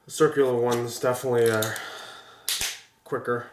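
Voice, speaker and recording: A man's voice: medium pitch, level tone, moderate pace, roomy sound, slight background noise. A man with a stuffy, congested voice from a sinus infection, chatting casually and rambling with many restarts as he builds a toy set. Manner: rambling, frequent restarts and fillers. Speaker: vlogger building toy sets. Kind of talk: casual chat while building.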